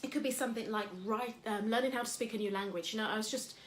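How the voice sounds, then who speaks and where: A woman's voice: a little high, fairly even intonation, fast talker, echoey room, slight background noise. A woman from England hosting a casual motivational talk. She talks in a chatty, rambling way with lots of fillers. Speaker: host.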